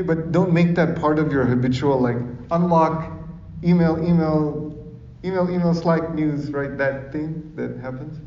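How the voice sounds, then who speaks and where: A man's voice: fairly high pitch, darkish tone, slight background noise. A man from Sri Lanka giving an informal talk as a speaker, in a conversational manner that keeps checking for agreement. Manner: conversational, often seeks agreement with tag questions. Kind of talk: informal public talk with audience questions. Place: echoey room in front of an audience. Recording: roomy, dull, distant microphone recording.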